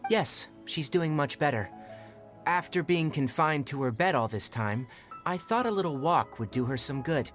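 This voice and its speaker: A man voicing a composed, astute young forest ranger in a video game, speaking in a measured, matter-of-fact, articulate way. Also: on the high side, lively intonation, fairly bright tone. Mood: calm, kindly. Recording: background music under the voice.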